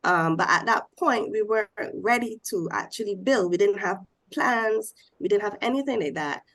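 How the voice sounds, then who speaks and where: A woman's voice: mid-range voice, animated, moderate pace, fairly bright tone. A woman from Barbados on a discussion panel, explaining step by step in a nasal voice because she has a cold.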